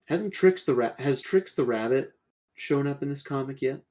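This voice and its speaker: A man's voice: mid-range voice, lively intonation, normal speed, darkish tone. A man co-hosting a podcast in casual, laid-back chat, giving short offhand remarks. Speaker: podcast co-host.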